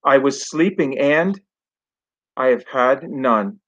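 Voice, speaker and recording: A man's voice: medium pitch, expressive, slow, a bit bright, dull audio. A man voicing a big grumpy male bear who complains in a sulky way.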